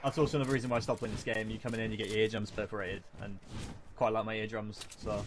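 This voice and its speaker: A man's voice: lowish voice, level tone, fast, slight background noise. A young man chatting casually and swearing while playing a shooter game. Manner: laid-back, swears, slangy banter. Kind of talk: casual gaming chat. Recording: game gunfire under the voice.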